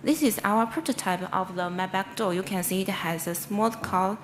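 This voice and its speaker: A woman researcher with a Chinese accent gives an academic conference presentation in halting English, often stumbling and correcting herself. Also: mid-range voice. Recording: clearly noisy presentation recording.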